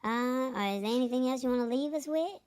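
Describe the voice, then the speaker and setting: A woman's voice: high voice, fast talker, darkish tone. A woman hosting a live show in a casual, chatty style. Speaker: show host.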